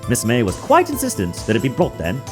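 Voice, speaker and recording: A man's voice: medium pitch, wildly swooping pitch, quick pace. A man voicing a prim, obsequious head bellboy in a video game dub, overly formal and exact. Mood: precise. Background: music.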